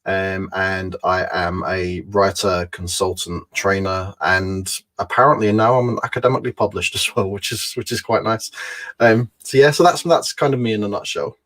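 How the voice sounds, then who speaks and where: A man in his forties, with a fairly low voice, speaks as a guest over a video call. He talks thoughtfully and casually, with many fillers and restarts along the way. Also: fairly even intonation, moderate pace, a bit bright. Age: 40s. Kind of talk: casual online talk.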